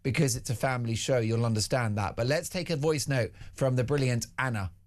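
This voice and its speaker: A man's voice: medium pitch, fairly quick. A British man hosting a talk show, reviewing the papers and bantering in a chatty, wry manner. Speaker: radio talk show host. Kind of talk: newspaper review and casual banter.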